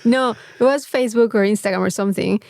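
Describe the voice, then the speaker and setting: A Mexican-accented woman talks casually as a podcast co-host, her voice at an ordinary middle pitch. She rambles informally and swears freely. The sound is dry, with very little room echo. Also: quick pace, vocal fry, darkish tone. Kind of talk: casual chat.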